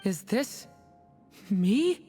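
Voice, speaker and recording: A woman playing a gentle, earnest young boy with a soft, hesitant manner in a video game. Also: mid-range voice, big pitch swings, drawn-out words, soft background music. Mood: uncertain, earnest.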